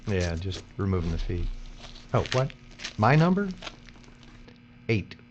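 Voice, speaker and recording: An actor playing a weary, sardonic veteran worker, blunt and gruff, in a dystopian audio drama. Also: male voice, deep voice, very animated, slow pace, exaggerated voice. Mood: wry, weary. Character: weary, cynical male veteran worker mentoring a younger one. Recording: dull audio with faint mains hum.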